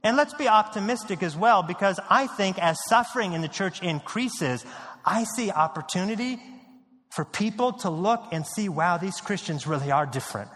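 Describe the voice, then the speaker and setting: A male pastor from the United States preaching a sermon, earnest, repeating key lines and dropping low and quiet on grave points. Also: animated, moderate pace, in a large hall. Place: church sanctuary.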